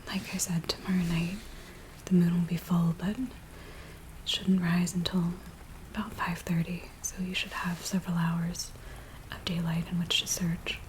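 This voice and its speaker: A woman acting as an anxious village woman in a spooky ASMR roleplay. She speaks in a gentle, breathy near-whisper, fairly low and very even in pitch. Steady rain sounds behind her. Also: moderate pace, lightly acted, low-quality recording. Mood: fearful warning. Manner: hushed, gentle, hesitant. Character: anxious, earnest village woman briefing a werewolf tracker. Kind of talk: soft-spoken ASMR roleplay. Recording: steady rain noise behind close soft voice. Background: rain ambience.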